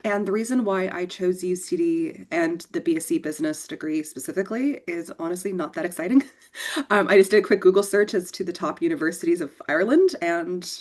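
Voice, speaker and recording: A woman's voice: fairly low pitch, a little fast, a little mellow. An American woman from Seattle, a mature student speaking casually on a panel over a video call. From Seattle, USA. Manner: casual, laughs easily.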